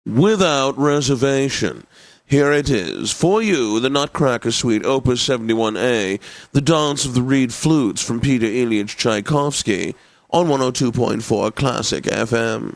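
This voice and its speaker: An actor playing a pompous, genteel classical radio DJ in a video game, announcing in a precise and theatrically obliging manner. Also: male voice, medium pitch, lively intonation, a little slow, no echo, low-quality recording. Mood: eager, gracious. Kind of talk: scripted radio continuity announcing.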